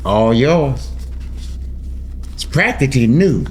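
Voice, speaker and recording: Man playing easygoing traveler, generous, middle pitch, film script reading. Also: animated, slow pace, a little hiss or hum. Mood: friendly, generous. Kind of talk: table read of a film script.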